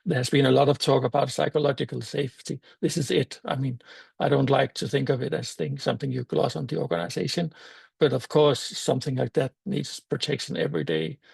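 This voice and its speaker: Older danish guy giving a talk, super gravelly husky voice, stops and restarts a lot, dry sound. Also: medium pitch, a little mellow, no room sound. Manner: thoughtful, halting with fillers and restarts. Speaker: meetup speaker. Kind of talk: conference talk.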